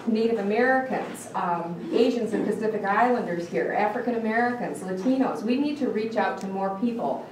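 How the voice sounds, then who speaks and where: A woman's voice: mid-range voice, animated, brisk, few pauses, background noise. Woman, Michigan accent, dietitian teaching a class; earnest, questions the room, restarts her words; distant mic in a very echoey room. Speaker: dietitian giving a talk. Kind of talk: live educational talk to an audience.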